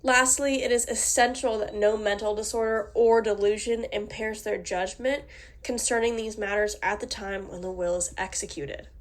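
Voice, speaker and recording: A woman's voice: mid-range voice, fairly even intonation, normal speed. A woman with a cold and a slightly nasal voice, reading out a prepared class presentation with small slips and restarts. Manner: reads formal text with small slips and restarts. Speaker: student presenter.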